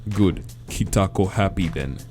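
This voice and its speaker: A man voicing a big, simple, creepy gray male monster who speaks in broken, halting English. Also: low voice, faint music behind. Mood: blunt. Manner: broken halting English, short flat sentences.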